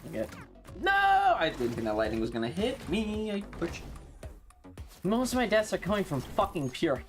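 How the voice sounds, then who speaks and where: A woman's voice: medium pitch, huge pitch swings, normal speed, soft background music. A woman streaming a game and chatting casually in a sweary, offhand, conversational way. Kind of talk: casual livestream chat while gaming.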